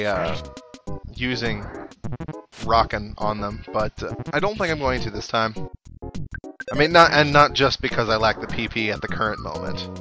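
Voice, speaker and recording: A man with a medium-pitched voice hosts a casual gaming playthrough. He muses aloud in a rambling way and reads text off the screen. Game music plays clearly behind his voice. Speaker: let's play host. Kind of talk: casual gameplay commentary. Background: video game music.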